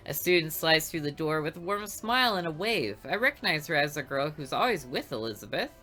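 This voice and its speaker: Woman reading a visual novel out loud, low voice but bright and crisp, dry sarcastic narration, soft music underneath. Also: sing-song, a little fast.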